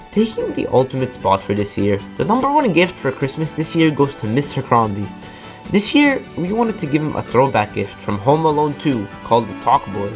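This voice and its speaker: A teenage man reading scripted narration as the presenter of a high school show. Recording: music playing under the voice.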